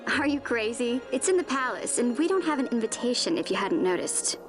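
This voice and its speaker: A teenage girl in a fantasy game, the sensible best friend. Her voice is fairly high, chiding and playful, with music clearly playing behind her. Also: very animated, a little fast. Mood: teasing. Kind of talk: naturalistic game voice acting.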